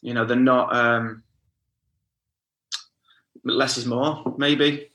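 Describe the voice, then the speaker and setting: Northern English man, thirties, laid-back, bright, podcast guest. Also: mid-range voice, extremely slow. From northern England. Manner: relaxed, chatty, says "mate" and "you know" often. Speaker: guest, house music DJ and producer. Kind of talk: casual podcast conversation.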